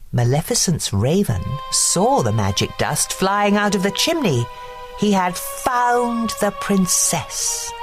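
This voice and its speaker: A woman narrating a scripted children's bedtime story in an expressive storytelling manner. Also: medium pitch.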